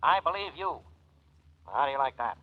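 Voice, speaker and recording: An actor playing a gruff, suspicious, blustering police detective in an old radio drama. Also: male voice, a little high, expressive, moderate pace, lightly acted. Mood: grudging, brusque. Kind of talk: 1940s radio drama acting. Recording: muffled old radio broadcast.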